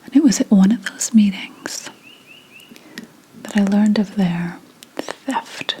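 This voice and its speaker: A woman playing a reincarnated seventeenth-century witch in an ASMR roleplay, telling her story in a hushed, deliberate, mournful whisper. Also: mid-range voice, slight background noise, natural voice. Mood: confiding.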